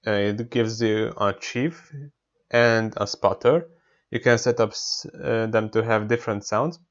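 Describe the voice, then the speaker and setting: A man with a Hungarian accent who hosts a casual racing game demo and calls out loud, clipped commands to a voice app. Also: fairly low pitch, steady tone, unhurried, muffled recording. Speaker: sim racing video host.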